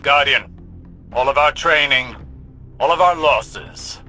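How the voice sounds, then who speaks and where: A man's voice: mid-range voice, slow, sharp tone. A man playing a grave, formal mentor in a video game, solemn and precise. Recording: music under the voice.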